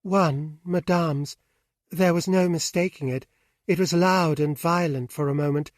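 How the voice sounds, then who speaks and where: A distraught young woman pours out a fearful confession, as a male reader voices her. The voice is lifted higher than his own, slow and trembling with anguish. The sound is dry and close. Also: fairly even intonation, dry recording, natural voice. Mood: shaken. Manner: anguished, pleading.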